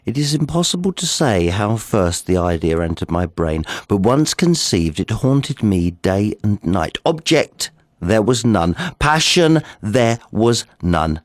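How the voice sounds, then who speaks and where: A nervous madman confessing to murder in a Gothic tale. His theatrical delivery is low and very animated, sliding between hushed secrecy and excited insistence. The sound is close and dry. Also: a little slow, dry recording. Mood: brooding, deliberate. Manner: theatrical, drops into breathy whispers, swings to excited outbursts. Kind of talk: dramatic Gothic short story reading.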